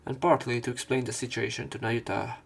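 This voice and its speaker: A man reading visual novel narration and dialogue aloud as a let's player, casual and a little halting. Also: mid-range voice, flat delivery, gravelly, slightly rough, faint music behind.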